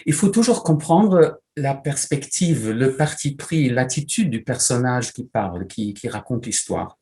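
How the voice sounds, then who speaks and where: A man whose first language is German, a literary translator asking questions and giving measured, prepared remarks in an interview. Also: mid-range voice, expressive, warm tone.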